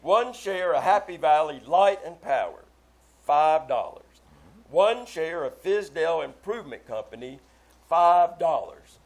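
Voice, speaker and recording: A man performs an older judge from a vintage radio comedy. His voice sits high for a man, and he talks in a dry, precise, fussy way with a wry playful streak. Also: animated, measured pace. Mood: businesslike. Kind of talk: old-time radio comedy acting. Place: live performance on a theater stage.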